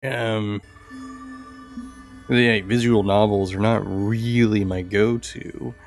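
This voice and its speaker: A man's voice: lowish voice, steady tone, slow. A man giving casual, tentative let's-play commentary, thinking aloud and reading on-screen text as he plays. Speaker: gaming video host. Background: game music.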